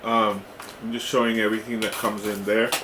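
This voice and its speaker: Male product reviewer, fairly low voice, informal and halting unboxing delivery, recorded with clear background noise. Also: flat delivery, roomy sound. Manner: halting, often checking in with "right?". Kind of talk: casual hands-on unboxing demonstration.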